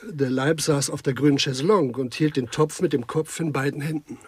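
An actor in a German radio crime comedy, playing a genteel, fussy administrator who laments in a plaintive, repetitive way. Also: male voice, a little high, fairly quick, slightly rough. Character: fussy, lamenting gentleman administrator, easily appalled.

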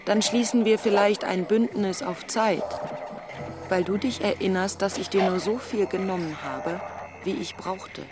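A woman playing a small, clever red female lizard warrior in a radio drama, self-assured and matter-of-fact, speaking in measured lines. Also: medium pitch, fairly even intonation, music in the background, natural voice. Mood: cool, knowing. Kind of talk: German radio drama acting.

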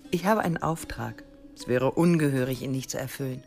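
An actress playing a vain, deranged artist killer in a radio crime drama, cool and eerily assured. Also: female voice, a bass voice, fairly quick, creaky, darkish tone, soft background music. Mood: calm, menacing.

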